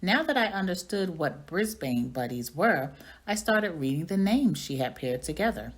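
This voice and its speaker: Low-voiced, animated woman reading a story. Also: moderate pace. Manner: expressive storytelling. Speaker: reading coach or teacher reading aloud. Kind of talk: expressive children's story read-aloud.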